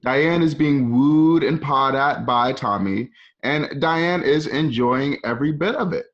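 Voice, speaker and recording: A man's voice: mid-range voice, unhurried. A man narrating scene directions in a dramatic scripted reading. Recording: dull lo-fi home call audio.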